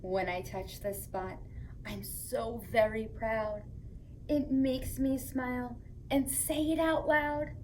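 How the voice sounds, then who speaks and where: A woman hosting story time for young children, reading aloud and chatting to them in a playful, expressive way. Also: on the high side, takes it slowly, a little hiss or hum, low-quality recording.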